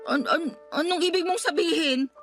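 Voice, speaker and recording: A woman's voice: very high voice, moderate pace, fairly bright tone, soft background music. A snappy, defensive girlfriend. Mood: irritated. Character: careless, defensive young girlfriend, easily irritated. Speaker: girlfriend in a radio drama. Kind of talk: radio drama acting.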